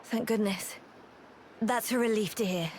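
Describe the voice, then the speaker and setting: A woman's voice: mid-range voice, a little slow, darkish tone, a little hiss or hum, close to an everyday voice. Woman playing a sincere, polite townswoman. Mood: polite concern. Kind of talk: video game cutscene acting.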